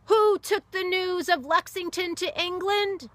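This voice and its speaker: A woman's voice: very high voice, unhurried, a bit bright, no echo, voice pushed up. A woman voicing a big, rowdy male sailor who bellows questions to a cheering crowd in a read-aloud story. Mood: roaring. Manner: bellowing, rousing.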